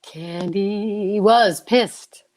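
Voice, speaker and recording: Slow, teasing woman commentator. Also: mid-range voice. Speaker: show commentator. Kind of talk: playful running commentary on a radio story.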